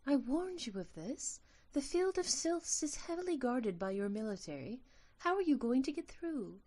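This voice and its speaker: A woman plays a tiny female sylph in an audio drama. Her voice is high and mellow, with an innocent, affectionate temper. She words everything precisely and formally, with a cryptic touch. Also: very animated, moderate pace. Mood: matter-of-fact. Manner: precise, formal phrasing without contractions.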